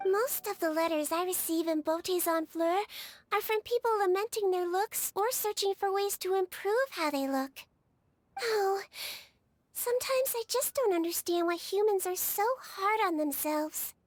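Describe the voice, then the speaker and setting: A woman voicing a small, sweet young female melusine nurse in a video game, with a childlike voice and a gentle, earnest, reassuring manner. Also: extremely high pitch, lively intonation, measured pace, dry recording. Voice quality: childlike, girlish. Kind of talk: video game character voice lines. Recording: studio voice over game music.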